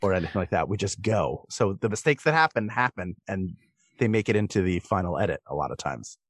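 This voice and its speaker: A man's voice: sits low, lively intonation, brisk, husky, no room sound, clean studio sound. A man giving thoughtful, explanatory answers as a performer guesting in a conversational interview. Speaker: podcast guest, comedic stage and voice performer.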